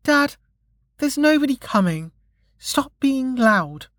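Man putting on a high-pitched, sulky little girl. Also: big pitch swings, slow pace, a bit bright, no room sound, clean studio sound, full character voice. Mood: sulky, reluctant. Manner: petulant, hollers her words. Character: sulky, blunt little girl, Mlem's daughter.

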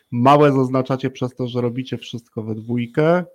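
A man's voice: mid-range voice, fairly even intonation, unhurried. A man hosting a casual interview in a chatty, rambling, hesitant way. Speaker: podcast host.

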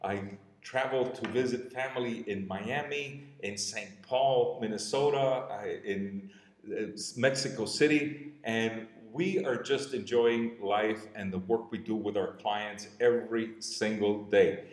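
A man in his sixties from Cuba with a Cuban Spanish accent, a business coach telling a personal story in an earnest way, slowing and softening at emotional moments. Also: lowish voice. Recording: roomy echo.

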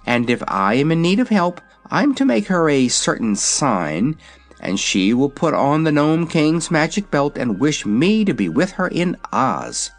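A man's voice: on the high side, sing-song, unhurried, soft background music, pitched above the performer's own voice, full character voice. A man voicing a cheerful, confident young girl in a fairy-tale story. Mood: bright, confident. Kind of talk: storybook character voice.

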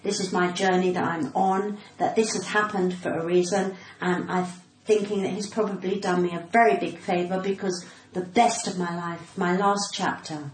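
A woman's voice: fairly low pitch, fairly even intonation, moderate pace, few pauses. Echoey, well-spoken British woman in her sixties. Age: 60s. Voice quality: cut-glass, well-spoken voice. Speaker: podcast guest, stand-up comedian. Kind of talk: candid conversational interview. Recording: roomy echo, clear background noise.

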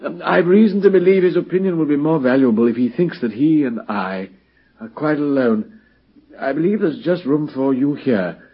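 A shrewd British detective who sounds sick in an old radio play. The voice is very breathy and husky, higher than most men's and highly expressive. The sound is muffled and dated. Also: male voice, moderate pace. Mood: weary, courteous. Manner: theatrical, refined, swinging from murmurs to urgency. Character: shrewd, commanding detective feigning grave sickness. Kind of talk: old-time British radio drama acting. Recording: muffled vintage radio broadcast recording.

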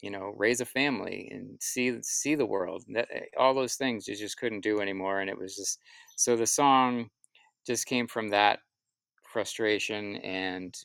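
A man with a low voice and a gravelly fry at the edges talks through his stories as an interview guest. He is reflective and halting, circling back over his words. The sound is very dry and close. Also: fairly bright tone, no room sound. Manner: halting, reflective, self-repeating. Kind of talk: casual interview answers, storytelling.

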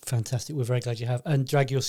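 A man co-hosting a podcast interview, asking questions in a quick, joking, teasing way. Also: medium pitch, steady tone, dark tone. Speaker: co-host.

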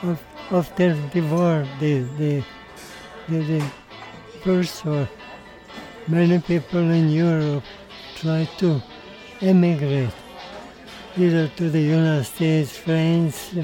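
Old guy, slow halting talk, really dark mellow voice, soft music behind. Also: a little high, steady tone, rough recording. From Mexico, raised in Hungary. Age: older. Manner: halting, with frequent restarts and repeated words. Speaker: interviewee, survivor telling his life story. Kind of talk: recorded interview.

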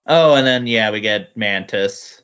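Halting, lowish-voiced man in casual talk. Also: a bit bright, clean tone. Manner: halting, repeats words as he thinks. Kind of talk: casual comic book discussion. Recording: dull lo-fi voice recording.

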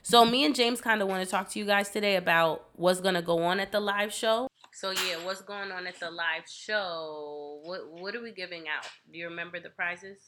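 A woman's voice: on the low side, normal speed, hardly any pauses, a bit bright, a little hiss or hum. A woman hosting a podcast in casual chat, a bit congested, rambling and giggly with lots of fillers. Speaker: podcast host.

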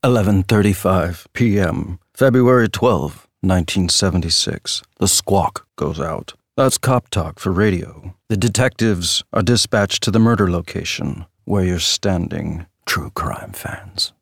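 An actor playing a flippant, showy true-crime tour guide, narrating with wry theatrical flair. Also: male voice, deep voice, gravelly, dry recording, studio quality, exaggerated voice. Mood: dry, ominous. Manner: theatrical, wry, drawn-out storytelling.

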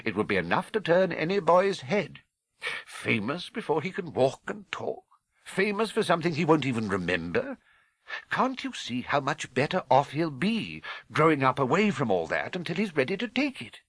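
A man voicing a calm, wise old wizard with a grave, measured and gently authoritative manner in an audiobook. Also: a little high, no echo, pitched above the performer's own voice, full character voice. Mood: serious. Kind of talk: audiobook character voice.

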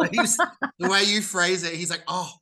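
Bright-voiced joking Australian host. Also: male voice, high-pitched, unhurried. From Australia, Central Coast. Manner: jokey, teasing. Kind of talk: casual interview chat.

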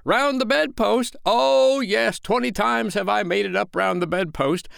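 A man acting a blustering, high-pitched father. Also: unhurried, vocal fry, fairly bright tone, smooth, no echo, clean studio sound, exaggerated voice. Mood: yelling, sarcastic. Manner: pompous, sarcastic, emphatic. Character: Mister Darling, blustering, dramatic, self-pitying husband and father.

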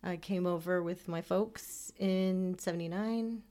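A woman's voice: fairly low pitch, fairly even intonation, unhurried, warm tone. A woman in her forties, born in Iran and raised in Texas, a nurse guest chatting casually with self-deprecating humor and frequent laughter. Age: 40s. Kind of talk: casual interview chat.

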